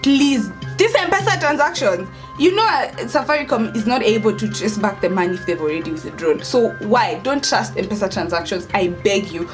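A young adult woman with a Kenyan accent, telling a story in casual vlog style, expressive and emphatic, repeating words for stress. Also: mid-range voice, normal speed, over music. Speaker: vlogger, storyteller. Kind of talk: casual storytime chat to camera.

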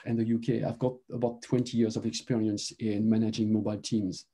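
A middle-aged man from France with a French accent, presenting a webinar over a video call and talking haltingly with many fillers and restarts. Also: on the low side, level tone, dark tone, husky. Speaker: presenter, mobile engineering manager.

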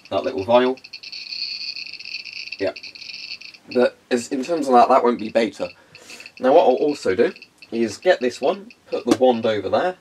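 A young man with a low, congested voice chats his way through a hands-on demonstration, often pausing on ums. He sounds stuffed up with a cold. Quiet clicking ticks along behind him in the carpeted room. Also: slight background noise, low-quality recording. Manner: chatty, rambling, frequent ums. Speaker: hobbyist video host.

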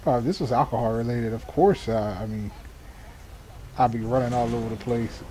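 A man's voice: mid-range voice, normal speed, mellow, slight background noise, low-quality recording. A man doing improvised police radio call-outs in a game, mixing clipped procedural jargon with casual muttered asides. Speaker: gamer playing a sheriff's deputy in a police patrol role-play. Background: game vehicle and ambient sounds.

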